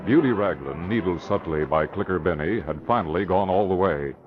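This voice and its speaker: An actor as the narrator of an old radio crime serial, giving dramatic scripted narration. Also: male voice, low-pitched, brisk, natural voice. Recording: muffled old radio recording, music behind.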